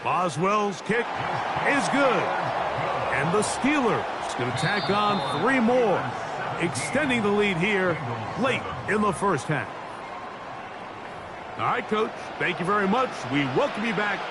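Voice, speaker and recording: A man's voice: high voice, lively intonation, slow, pauses often. A man with an American accent calling American football play-by-play as a game commentator. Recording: loud crowd noise, game audio in background.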